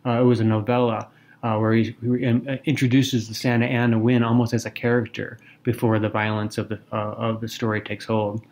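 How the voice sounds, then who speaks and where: Measured, articulate man co-hosting a podcast. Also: lowish voice, completely flat delivery, darkish tone. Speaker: podcast co-host. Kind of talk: literary discussion with prepared remarks.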